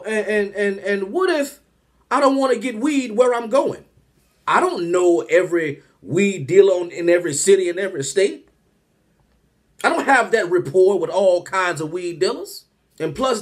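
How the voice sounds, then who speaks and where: Man, 30s, comedian, animated exasperated rant, high voice, webcam in small room. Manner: animated, exasperated, rhetorical questions. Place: small room at home, indoors.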